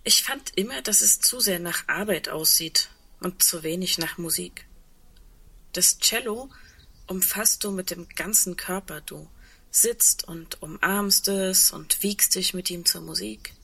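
A wistful woman musician in an intimate radio play. Her voice sits a little low, husky yet bright and forward. She speaks reflectively, in halting, natural phrases. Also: exaggerated voice. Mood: wistful, reminiscing. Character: wistful woman double bass player facing the world's end.

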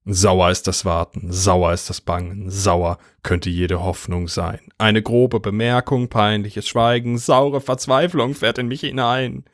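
A man's voice: on the low side, steady tone, unhurried, few pauses, no echo, studio quality. A man performing spoken-word poetry in a dramatic, rhythmic delivery.

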